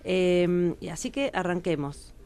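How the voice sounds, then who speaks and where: A woman's voice: fairly low pitch, unhurried, a little mellow, smooth. A woman with a Rioplatense Argentine accent, hosting a radio column on a casual footing and putting questions to guests. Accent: Rioplatense Argentine Spanish. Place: radio studio table.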